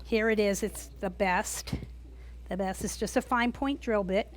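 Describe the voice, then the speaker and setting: A woman with a US East Coast accent teaching a gourd craft class live to a small group, talking loudly and chattily. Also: mid-range voice, unhurried. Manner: loud, chatty, animated. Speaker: gourd art instructor. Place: workshop room with a small audience.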